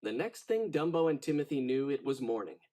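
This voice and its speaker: A man narrating a children's storybook in an expressive, dramatic read-aloud style. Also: mid-range voice, a little fast.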